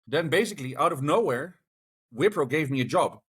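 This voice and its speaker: A man's voice: on the high side, animated, fairly quick, a bit bright, breathy edge. A man talking casually over a video call as a podcast guest, telling his story and acting out the dialogue he quotes.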